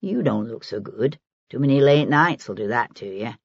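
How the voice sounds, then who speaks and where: Woman doing a husky old charwoman, blunt and shrewd, low voice, kinda dull audio, storybook. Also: animated, normal speed, dry recording, heavily acted. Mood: wry. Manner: blunt, scornful, folksy working-class speech.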